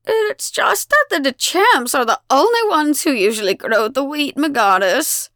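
A woman's voice: high voice, expressive, unhurried, pushed and bright, no echo, clean studio sound, pitched above the performer's own voice, heavily acted. A woman voicing a timid, deferential villager in their sixties, quavering and hesitant, in an audiobook. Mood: nervous. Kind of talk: audiobook character voice.

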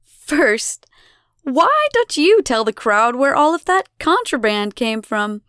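A woman's voice: medium pitch, very animated, takes it slowly, no room sound, studio quality. A woman voicing a cruel, volatile fire goddess in an audiobook, mocking, imperious and theatrical. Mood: menacing. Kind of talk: audiobook character voice.

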